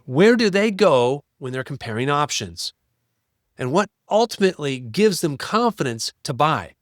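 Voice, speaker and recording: A man's voice: a little high, very animated, normal speed, dry recording, clean studio sound. A man presenting an explainer to business owners in an instructive way, with rhetorical questions and emphatic lists.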